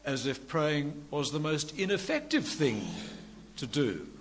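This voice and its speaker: An older man preaching a sermon with a quiet voice and dry humour. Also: on the high side, very animated, measured pace. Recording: long hall reverb, faint room noise.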